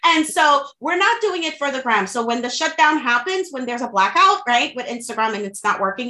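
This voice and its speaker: Female coach as interview guest, Haitian accent, native French and Creole speaker, forceful and frank, echoing room sound. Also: fairly high pitch. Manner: emphatic, frank, motivational. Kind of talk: conversational advice-giving.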